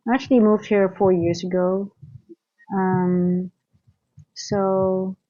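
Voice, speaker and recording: A woman's voice: mid-range voice, little pitch movement, slow pace, mellow, dull audio. A woman from the Netherlands with a Dutch accent, thinking aloud in live trading commentary, often restarting and asking "right?". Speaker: trading coach.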